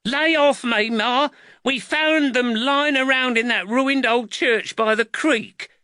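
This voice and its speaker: A man's voice: very high-pitched, takes it slowly, sharp tone, clean tone, no room sound, clean studio sound, pitched above the performer's own voice, exaggerated voice. A man voicing a sulky schoolboy in trouble, squealing and whining defensively in a storybook.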